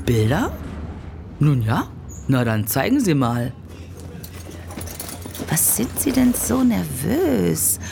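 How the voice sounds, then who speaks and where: A woman's voice: sits low, sing-song, takes it slowly, creaky, pauses often, background noise, exaggerated voice. An actress playing a suspicious, stern older Saxon woman in an audio drama, speaking with a Saxon accent. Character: suspicious, stern old Saxon granny on a train. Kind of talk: German audio drama acting.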